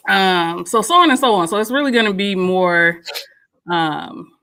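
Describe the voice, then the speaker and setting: A woman's voice: mid-range voice, lively intonation, unhurried, bright, forceful tone. A woman co-hosting a morning show, chatting casually and laughing often. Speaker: radio show co-host and podcaster.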